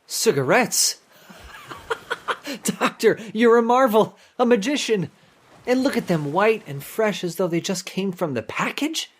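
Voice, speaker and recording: An actor playing a giddy, cowardly forger and ladies' man, an escaped convict in a radio horror drama, excitable and taunting. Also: high voice, measured pace. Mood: elated. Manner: excitable, gushing, taunting, prone to cackling. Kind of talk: old-time radio horror drama acting.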